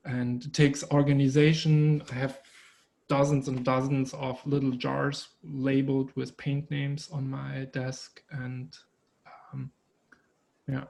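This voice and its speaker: A man whose first language is German, an artist speaking thoughtfully in an interview, pausing often with fillers. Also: medium pitch, little pitch movement, creaky, a little mellow. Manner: thoughtful, hesitant with many fillers. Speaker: artist guest. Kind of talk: conversational interview.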